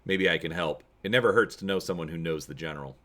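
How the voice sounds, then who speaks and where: A man's voice: low voice, quick pace, a bit bright. A man voicing a grim, weathered older colonel in a story reading, confiding and wry, friendly yet coldly ruthless.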